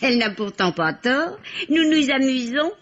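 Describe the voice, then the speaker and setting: A woman playing a timid, excitable, naive spinster sister in a radio mystery, earnest and hesitant. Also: high-pitched, normal speed. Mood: dreamy, naive. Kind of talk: vintage French radio mystery acting. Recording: dull, lo-fi old radio recording.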